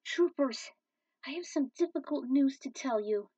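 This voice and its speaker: A woman's voice: sits high, animated, unhurried, mellow, no room sound, voice pushed up. A woman voicing a formal, concerned father and mentor in a story, with a grave delivery. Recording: dull, distant microphone.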